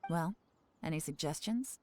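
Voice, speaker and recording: Secretive female spy, low voice, calm and measured, game dialogue, faint music behind. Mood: composed. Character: cool, secretive woman intelligence agent. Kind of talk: video game voice acting.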